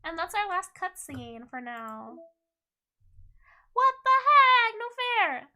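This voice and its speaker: A young woman streaming a game and chatting with viewers, playful and teasing, her voice a little hoarse. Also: extremely high pitch, sing-song, slow pace, sharp tone. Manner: playful, giggly, teasing chat. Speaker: VTuber streamer. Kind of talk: casual game stream chat, reading chat.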